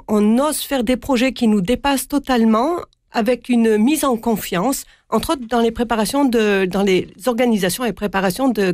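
Nun guest, southern French accent, slightly high, earnest, dry close sound. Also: female voice, darkish tone, no room sound. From south-east France. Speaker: religious sister. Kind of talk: interview answers.

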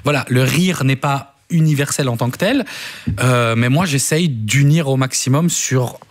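A man's voice: mid-range voice, measured pace. Chatty young comedian man, restarts. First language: Italian. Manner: chatty, lots of restarts and fillers. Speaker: stand-up comedian guest. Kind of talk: casual radio interview.

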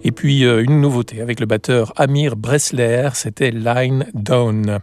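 A man's voice: medium pitch, steady tone, a little slow, darkish tone, no echo, studio quality. A man presenting on a jazz radio station, reading out track credits and an upcoming guest. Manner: smooth, read announcement. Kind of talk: station announcement.

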